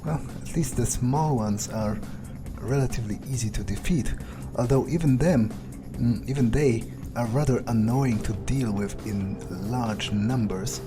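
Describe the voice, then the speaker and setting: Wary male vampire played by a male gamer, profane, halting and unhurried, medium pitch, game music behind. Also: natural voice. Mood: musing. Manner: muttering, halting, profane asides. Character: foul-mouthed, wary male vampire exploring sewers. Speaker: gamer in an in-character playthrough. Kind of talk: improvised in-character game roleplay, thinking aloud.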